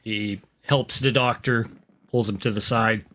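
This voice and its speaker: A man's voice: on the low side, steady tone, measured pace, fairly bright tone. A man playing in a tabletop roleplaying game, narrating his character's actions in the third person. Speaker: player.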